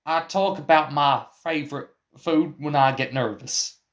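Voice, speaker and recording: An eccentric male film collaborator, voiced by a man in a comic radio play. His voice sits on the high side and moves slowly, with a stubborn, theatrical insistence that makes every evasive answer sound like a great secret. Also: close to an everyday voice. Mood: nervous. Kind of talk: scripted comic mock interview.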